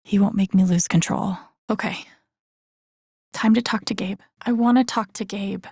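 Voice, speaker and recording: An actress voicing a wry, caring young woman in a video game, muttering to herself in a soft, understated, dryly musing way. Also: mid-range voice, fairly even intonation, normal speed, gravelly, husky, no room sound, clean studio sound. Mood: focused musing. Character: young woman, wry, caring, thinking aloud while searching. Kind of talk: video game voice acting, muttered self-talk while exploring.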